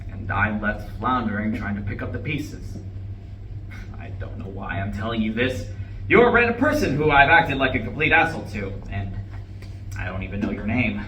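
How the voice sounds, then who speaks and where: A man's voice: fairly low pitch, animated, normal speed, bright, forceful tone. An actor playing a distraught, irritable, newly divorced man in a live stage play reading. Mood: dejected, faltering. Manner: faltering, sighing, swinging into bitter outbursts. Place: indoor room at a live reading. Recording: echoey room, distant mic, faint noise.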